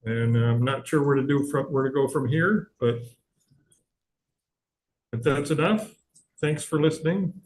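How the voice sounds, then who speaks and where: A man speaking as grammarian at an online club meeting, halting and full of fillers, over a video call. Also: medium pitch. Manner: halting, many fillers and restarts. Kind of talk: hesitant club meeting remarks.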